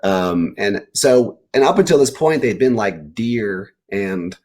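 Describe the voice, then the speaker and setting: A man talking casually as an author guest in an interview, animated and rambling as he pieces ideas together aloud. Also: on the low side, slow. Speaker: children's book author, guest. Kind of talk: casual interview answers about writing.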